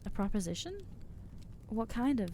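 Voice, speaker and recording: A woman's voice: on the high side, sing-song, normal speed, dark tone, a little hiss or hum. A woman voicing an anxious, self-loathing young female vampire in an ASMR audio roleplay, talking hesitantly and putting herself down. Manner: hesitant, self-deprecating, trailing off.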